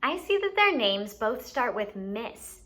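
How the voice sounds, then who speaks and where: Bright, high-pitched woman teaching young children. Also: very animated, slow pace. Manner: enunciates for young children, asking them questions. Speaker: children's story time host and teacher. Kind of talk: children's educational activity, instructing.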